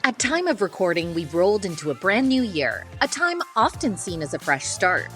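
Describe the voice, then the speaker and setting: A woman's voice: medium pitch, big pitch swings, normal speed, a bit bright, faint music behind. A woman co-hosting a podcast, reading a scripted intro and then interviewing in a playful, upbeat way, now and then dropping a swear word. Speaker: podcast co-host.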